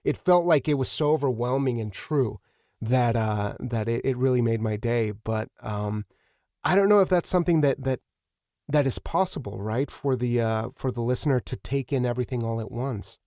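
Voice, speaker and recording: A man hosting an interview in casual, reflective talk, effusive and earnest with halting phrasing. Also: medium pitch, a little mellow, dry recording, clean studio sound. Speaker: podcast host, interviewer.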